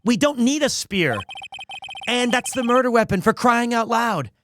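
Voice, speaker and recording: A man's voice: extremely high pitch, lively intonation, normal speed, a bit bright, a little hiss or hum, voice pushed up. A man voicing a dry-witted, easily exasperated young male defense lawyer, reading video game dialogue and inner monologue in a matter-of-fact way.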